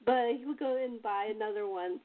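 A woman's voice: a little high, a little fast. A woman chatting casually as host and playwright, telling anecdotes and given to chuckling. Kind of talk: casual panel chat. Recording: narrow tinny phone-like call audio.

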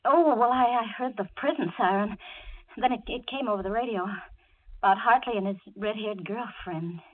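An actress playing a nervous woman motorist, telling her story in a tense, breathless, halting way in 1940s radio style. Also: medium pitch, close to an everyday voice. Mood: flustered. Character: nervous red-haired woman motorist recounting a frightening night. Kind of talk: 1940s radio thriller acting, dramatic narration. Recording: noisy, tinny 1940s radio recording.

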